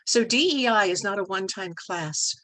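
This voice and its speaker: A woman presenting at a club training session in a measured, instructive manner, posing rhetorical questions. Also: mid-range voice, animated, a bit bright.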